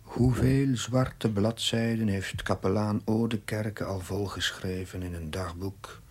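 An actor playing a troubled, self-doubting curate who narrates his diary gravely and introspectively in a husky, breathy voice. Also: on the low side. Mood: bitter self-reproach. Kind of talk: brooding dramatic diary narration.

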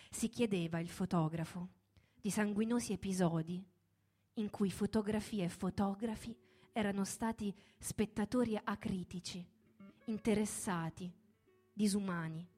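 A woman's voice: mid-range voice, dark tone. A woman reading a dramatic scripted narrative in a radio theatre performance. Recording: music playing behind the voice. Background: music.

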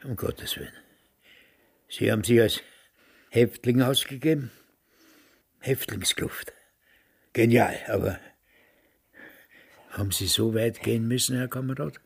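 An actor in a German radio drama playing an aged former SS guard, cowardly and self-pitying, with a halting, spluttering, wheedling manner. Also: male voice, medium pitch, vocal fry, husky. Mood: shocked, insinuating. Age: older.

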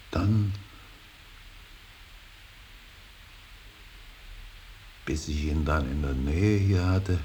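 A stern, brooding old father in mourning for a solemn radio play. His voice is extremely low and gravelly, with halting, weighty phrasing. A faint hiss lies under the recording. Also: full character voice. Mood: broken, resigned. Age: older. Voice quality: very deep, gravelly. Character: grieving old painter, stern, brooding, bitterly devout father. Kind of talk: slow, solemn German stage-style radio drama acting.

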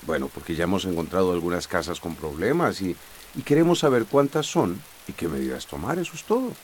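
A harried, middle-aged small-town mayor in a radio soap. His intonation is very animated and he sounds dutiful. A faint hiss sits behind him. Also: low voice, brisk. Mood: patient, reassuring. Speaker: radio drama actor. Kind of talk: radio soap opera acting.

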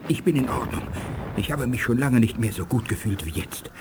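An actor playing a dignified, ailing alien scientist in a 1980s German radio drama, with a measured manner. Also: male voice, medium pitch, noisy background, lightly acted. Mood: weary, grave.

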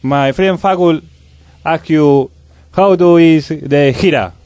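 A man hosting a live radio show in a casual, halting, improvised way. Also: a little high, a little mellow, dry recording. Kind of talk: live on-air hosting, casual with hesitant fillers.

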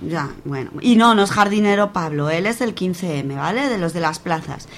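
A woman's voice: on the low side, animated, normal speed. Woman playing sensible young friend, noisy recording. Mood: earnest, exasperated. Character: sensible, good-natured, patient young woman. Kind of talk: amateur radio soap acting. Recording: clear background noise.

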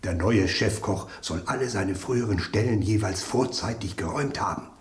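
An actor playing a gossipy, knowing spoon in a German radio drama, telling things with suspense and dramatic pauses. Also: male voice, fairly low pitch, slight background noise, natural voice. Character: gossipy, knowing talking spoon, relishing scandal.

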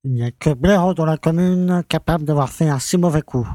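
A man plays a pompous village mayor with a mellow, slightly high voice. He is bossy and hammers his orders home in a dry, close audio drama recording. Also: brisk, no room sound, lightly acted. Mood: imperious. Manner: peremptory, repeats his orders. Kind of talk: French audio drama acting.

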